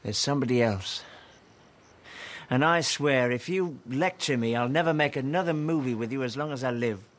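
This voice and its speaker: An actor playing a proud, blunt young comedy star and filmmaker in a period drama, speaking with indignant intensity. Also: male voice, medium pitch, moderate pace, a little hiss or hum, natural voice. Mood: bitter, defensive. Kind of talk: radio-play style period drama acting.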